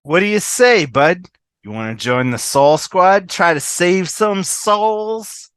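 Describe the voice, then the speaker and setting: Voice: mid-range voice, expressive, slow pace, a bit bright, clean tone, dry recording, clean studio sound. An amateur actor on a video call, reading the part of a blunt, irreverent woman who rallies doomed friends. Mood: rallying. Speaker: amateur table-read actor. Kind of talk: fan table read of TV comedy script.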